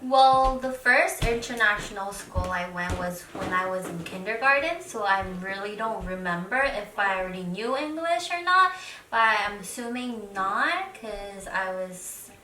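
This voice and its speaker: A woman's voice: mid-range voice, expressive, measured pace, bright, forceful tone, slight background noise. A young woman from Hong Kong, a native Japanese speaker, answering casually and a little hesitantly as a student guest. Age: young adult. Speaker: guest, international film student. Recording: echoey room, distant microphone.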